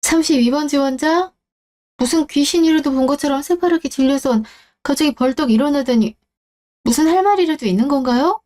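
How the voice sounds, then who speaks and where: Poised young woman boss, voiced by a woman in a radio drama: high voice, calm and cutting. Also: brisk, close to an everyday voice. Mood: cool. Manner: cool, composed, pointed. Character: young woman co-CEO interviewing her ex-husband. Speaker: job interviewer. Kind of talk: Korean story radio drama.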